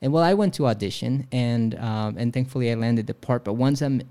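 A man, a guest actor on a podcast, chatting casually and telling animated stories, laughing often and restarting his sentences. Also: medium pitch, a little fast, clean tone.

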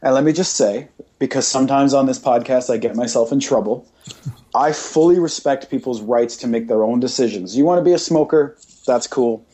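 Mellow-voiced man on a blunt rant. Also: mid-range voice, brisk. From Britain. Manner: emphatic, repetitive listing, blunt. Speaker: podcast host. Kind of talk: casual opinionated rant.

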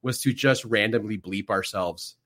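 A man's voice: lowish voice, a bit bright. A man hosting a podcast in casual, joking banter from the back room of a game store. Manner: chatty, jokey, quick asides. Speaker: podcast host.

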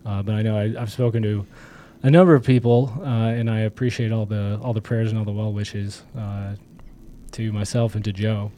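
Hoarse man joking on a podcast. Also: on the low side, little pitch movement, moderate pace, dark tone. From Michigan. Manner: joking, rambling with many fillers. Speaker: podcast co-host. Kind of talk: casual political podcast chat.

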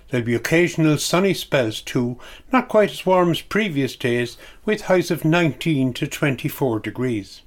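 An Irish man reads a scripted weather forecast on local radio. His voice is pitched somewhat higher than most men's, and he works through it as a forecast reader.

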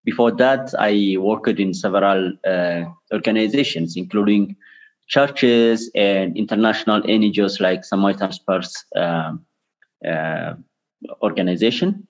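Interview guest, male, Ethiopian accent, lowish pitch, pause-filled delivery, muffled recording. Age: 30s. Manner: halting, with frequent pauses. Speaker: interview guest, charity director. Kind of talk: personal interview answers. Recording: dull lo-fi remote call.

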